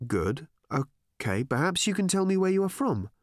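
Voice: mid-range voice, big pitch swings, normal speed, no echo, studio quality, voice pushed up. A storyteller voicing a flustered professional woman reporter who tries to stay composed while asking incredulous questions. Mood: probing, careful.

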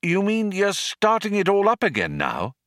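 A man plays a stunned, incredulous ordinary Earthman in an audiobook. He speaks haltingly and plaintively, with pitch that leaps about, in a very dry close recording. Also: huge pitch swings, fairly bright tone, no room sound. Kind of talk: audiobook character voice.

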